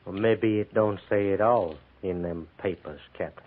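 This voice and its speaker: Brooding prisoner, sly, insinuating, low voice, tinny 1950s radio western. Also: male voice, moderate pace.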